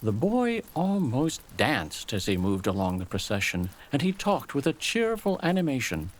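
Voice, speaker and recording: A man reading a scripted dramatic story as a storyteller, in a measured, literary manner. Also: mid-range voice, huge pitch swings, poor audio.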